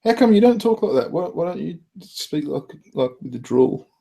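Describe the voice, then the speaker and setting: A man's voice: a little high, sing-song, moderate pace, vocal fry, mellow. Man, australian accent, casual chat host, laughs a lot, swears freely. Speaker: podcast host.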